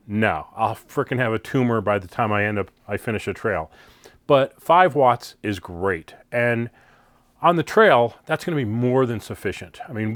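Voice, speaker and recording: An American man reviews gear in a casual, off-the-cuff style. His voice sits at a middle pitch, with very little room sound around it. He is plain-spoken and conversational, leaning on key words for emphasis. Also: no room sound. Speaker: product reviewer, channel host.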